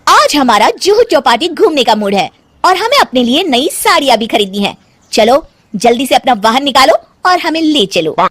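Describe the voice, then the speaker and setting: A woman's voice: high voice, big pitch swings, normal speed, a bit bright, dry recording. A woman voicing a young wife who acts like an imperious, demanding princess in a cartoon story. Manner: haughty, commanding, theatrical. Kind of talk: Hindi cartoon moral story acting.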